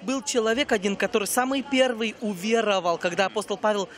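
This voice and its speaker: A man in his thirties, a guest minister in an outdoor street interview, telling stories in an animated way into a handheld mic. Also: sits high, brisk. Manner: animated storyteller, acts out quotes. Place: busy pedestrian street outdoors. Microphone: host's handheld mic.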